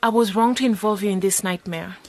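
A woman's voice: medium pitch, fairly quick, darkish tone, natural voice. Caring, persistent young village woman, played by an actress. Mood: earnest. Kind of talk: radio drama dialogue.

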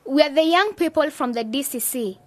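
A woman's voice: high voice, brisk, no room sound, lightly acted. A woman acting in a radio drama as a bold, eager young woman, informal and forthright. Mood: frank.

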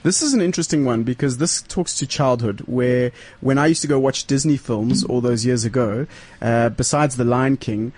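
A man's voice: mid-range voice, normal speed, few pauses, a little mellow. Easygoing male radio co-host. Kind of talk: casual studio banter.

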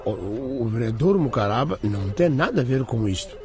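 A man's voice: medium pitch, expressive, brisk, a little mellow, faint music behind, natural voice. A man acting in a radio drama as a nervous, evasive father suspected by police, hesitant and defensive. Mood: flustered.

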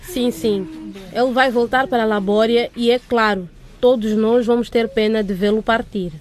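Slightly high female voice, actress as strict headmistress, brisk, authoritative classroom address, radio drama. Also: fairly even intonation, close to an everyday voice. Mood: strict, businesslike.

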